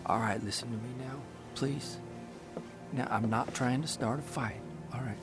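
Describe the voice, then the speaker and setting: An actor playing a tired young newspaperman, giving dry, wry replies and hushed pleading. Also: mid-range voice, steady tone, moderate pace, music in the background, lightly acted. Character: weary young newspaperman, son and husband. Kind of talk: radio drama acting.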